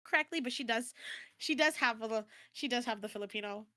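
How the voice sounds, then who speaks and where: A woman's voice: on the high side, quick pace, bright, forceful tone, no room sound. An American woman chatting casually and expressively as a guest on an interview stream. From United States. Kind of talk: casual chat.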